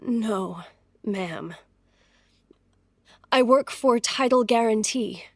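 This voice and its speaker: An actress playing a timid, polite young former beauty queen, halting and deferential, in a period audio drama. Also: fairly high pitch, no echo, studio quality, full character voice. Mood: nervous, hesitant.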